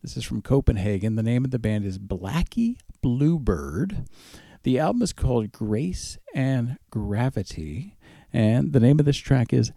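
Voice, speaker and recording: Guy djing a radio show, chatty and casual, mellow gravelly voice, pretty lively intonation. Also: medium pitch, measured pace, no echo, clean studio sound. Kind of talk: casual music radio presenting, back-announcing tracks.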